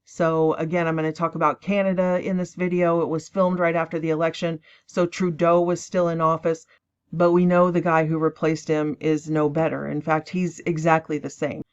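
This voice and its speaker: A tired woman rambling emphatically as she introduces a clip, breaking into quick mocking asides. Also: deep voice, level tone. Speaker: online prophecy channel host. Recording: dull lo-fi recording.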